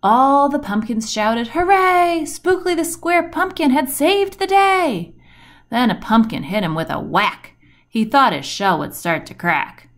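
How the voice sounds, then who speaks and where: Woman reading slowly with very animated pitch. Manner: deliberate, dramatic storytelling delivery with emphasis on rhymes and action words. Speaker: storybook reader. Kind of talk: expressive read-aloud of a rhyming children's picture book.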